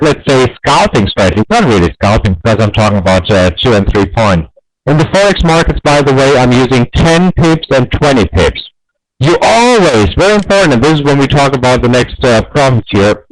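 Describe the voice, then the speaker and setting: A man's voice: mid-range voice, dry recording, rough recording. A man with a German accent teaching trading basics in a live webinar, stressing key points and repeating himself, with frequent fillers. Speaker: trading educator.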